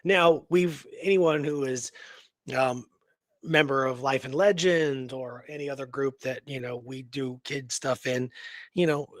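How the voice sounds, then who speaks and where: A man's voice: mid-range voice, fairly even intonation, unhurried, no echo. A man co-hosting a radio show, recounting history in a casual, enthusiastic, informal way.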